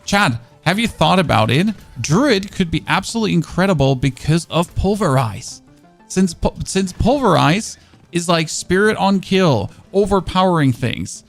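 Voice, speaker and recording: Male streamer, German native, gravelly mid-range voice, rambling casual chat over faint background music. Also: sits high, expressive, moderate pace. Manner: rambling, answers chat questions, frequent restarts. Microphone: studio dynamic microphone.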